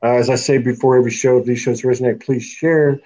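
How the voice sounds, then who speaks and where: Easygoing male live-stream host, mellow tone. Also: mid-range voice, fairly even intonation, fast talker, muffled recording. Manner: loose, chatty, laughs often, reads out viewer names. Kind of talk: casual live-stream talk show.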